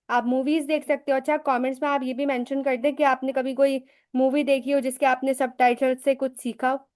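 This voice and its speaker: High-voiced woman teaching in a chatty way. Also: a little mellow. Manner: chatty, explains with rhetorical questions and personal examples; mixes English phrases into her talk. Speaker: English teacher hosting a live session. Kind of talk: casual live teaching talk.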